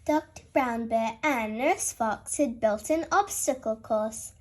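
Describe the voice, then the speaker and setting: Slow, high-voiced woman reading a storybook. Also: a little mellow. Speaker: storybook reader. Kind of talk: expressive children's read-aloud narration.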